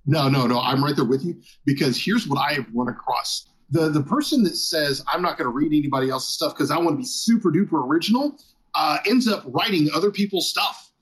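A man's voice: a little high, brisk, a bit bright, slightly rough, low-quality recording. A man from South Texas chatting casually as a podcast host, with lots of fillers and easy laughter. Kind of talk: casual conversation.

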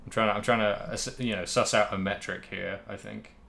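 Low-voiced man chatting as podcast co-host. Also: steady tone, a little fast, vocal fry, bright, forceful tone. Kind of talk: casual chat about a video game.

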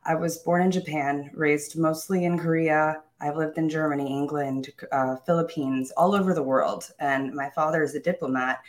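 A woman's voice: deep voice, fairly even intonation, normal speed, hardly any pauses. A young woman telling her own life story in an interview, upbeat and self-assured, with small laughs and restarts. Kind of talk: candid personal storytelling.

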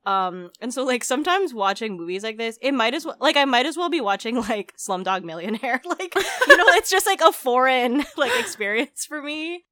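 A woman's voice: high voice, lively intonation, normal speed, hardly any pauses, sharp tone, no room sound. A woman in her twenties, raised in the Philippines, co-hosting a podcast in a chatty, giggly way full of "like" and "um". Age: 20s. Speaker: podcast co-host. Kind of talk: casual podcast chat.

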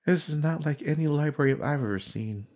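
A man voicing an earnest, frightened but determined young woman scholar in a fantasy audiobook, breathy and urgent. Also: a little high, a little fast, a little mellow, voice pushed up, lightly acted. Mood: anxious whisper.

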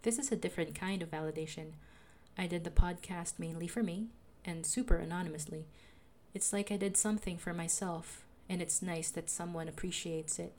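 Soothing, lower-voiced young woman. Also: brisk, a little hiss or hum. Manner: calm, reflective, confessional. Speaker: podcaster. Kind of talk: personal diary narration.

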